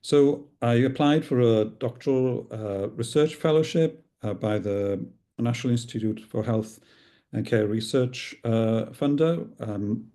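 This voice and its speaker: Halting, mellow-voiced man. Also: medium pitch. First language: Welsh. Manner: halting, with many fillers and restarts. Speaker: clinical researcher. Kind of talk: recorded talk.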